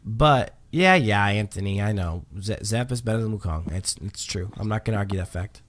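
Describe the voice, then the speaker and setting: A man's voice: on the low side, expressive, normal speed, a bit bright, a little hiss or hum. A man hosting a livestream, chatting casually while reading patch notes, with lots of um fillers and restarts. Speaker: livestream host.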